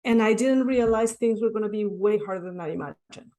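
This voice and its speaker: A woman's voice: medium pitch, fairly quick, mellow. A woman from Mexico with a Mexican Spanish accent, speaking English as a second language, earnestly telling her story as a podcast guest and often adding "right?". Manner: earnest, reflective, frequent "right?" tags. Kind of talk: conversational interview.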